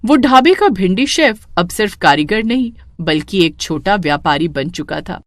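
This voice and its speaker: Expressive woman narrating a story. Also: medium pitch, moderate pace, no echo, studio quality.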